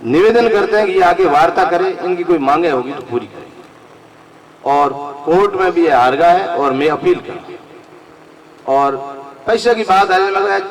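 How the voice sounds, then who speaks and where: A man with a Rajasthani accent speaks in a high voice to a community gathering. He makes emphatic, repeated appeals as a leader. The microphone sits far from him, with a clear crowd sound around. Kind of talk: public speech to a community gathering. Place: large fair gathering. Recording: distant microphone amid an open crowd.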